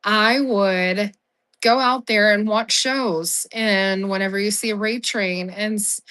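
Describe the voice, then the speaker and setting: A hoarse woman getting over the flu hosts a casual livestream from home. She talks in a chatty, friendly way with lots of ums and keeps apologizing for how her voice sounds. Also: mid-range voice, fairly even intonation, slow pace, a bit bright. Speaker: live-selling app host. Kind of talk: casual livestream seller-support chat.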